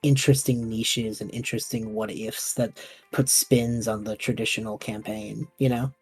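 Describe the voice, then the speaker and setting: Husky, mellow man chatting on a podcast. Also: lowish voice, flat delivery, faint music behind. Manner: halting, rephrasing questions mid-thought. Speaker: podcast host interviewing a guest. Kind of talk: casual podcast chat about tabletop roleplaying shows.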